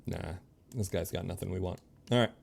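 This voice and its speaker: A man streaming a video game, thinking aloud in easygoing casual chat and reading game text out loud. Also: low voice, fairly quick, gravelly.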